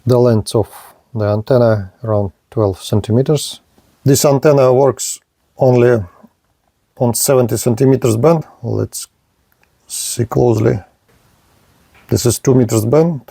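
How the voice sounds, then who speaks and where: A man narrating a product review and unboxing in short, halting, plain phrases. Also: medium pitch, creaky, mellow, dry recording. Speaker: gadget reviewer.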